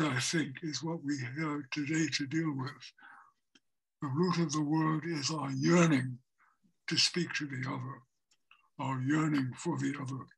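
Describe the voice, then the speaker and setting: An older American man from a home video call, introducing poets in a slow, reflective, lyrical way. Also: fairly high pitch, vocal fry, a bit bright, husky, rough recording. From United States. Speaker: poetry reading curator. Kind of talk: measured spoken introduction. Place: home study with bookshelves and window.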